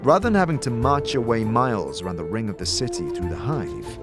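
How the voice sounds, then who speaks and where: A man narrating a fantasy audio drama as game master, in deliberate, suspenseful scripted storytelling. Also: medium pitch, animated, loud music behind the voice.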